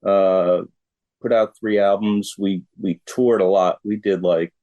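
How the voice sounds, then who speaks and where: Middle-aged man, lowish voice, very slow pace, halting phrasing, casual interview guest. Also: steady tone, dark tone, lo-fi sound.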